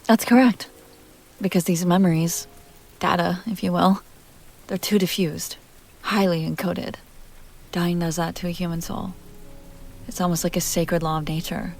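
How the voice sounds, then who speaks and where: A woman's voice: lowish voice, steady tone, moderate pace, vocal fry, pauses often, a little mellow. A woman playing a self-assured, playful vampire heiress in an intimate roleplay audio drama, talking directly to the listener. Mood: soft, wistful.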